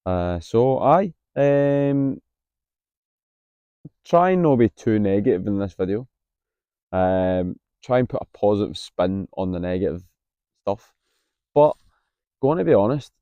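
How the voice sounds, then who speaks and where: A Scottish man in his late twenties, a little husky from being unwell, talking candidly to camera outdoors with plenty of hesitant ums. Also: fairly low pitch, dark tone, dry recording, highs cut off. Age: 20s. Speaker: vlogger, university student. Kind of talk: casual vlog to camera. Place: outdoors on a campus.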